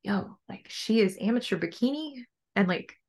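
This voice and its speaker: A young adult woman with a low voice, talking casually and earnestly as a coach being interviewed on a podcast. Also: animated, moderate pace, a little mellow. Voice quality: low-pitched voice. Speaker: bodybuilding coach and podcast guest.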